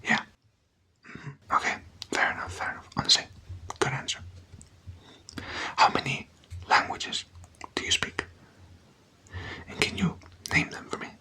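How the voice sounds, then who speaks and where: Casting: ASMR whisperer, very bright and breathy, casual and playful, conversational questions to the listener. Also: measured pace, long pauses. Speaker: ASMR content creator.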